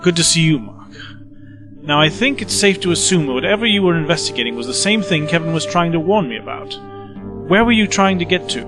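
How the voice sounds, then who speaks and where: A man plays a cautious time-travelling investigator in an audio drama. He is crisp and authoritative, highish and lively in pitch, with clear music under him. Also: brisk, close to an everyday voice. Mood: brisk, cautious. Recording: music bed under the dialogue.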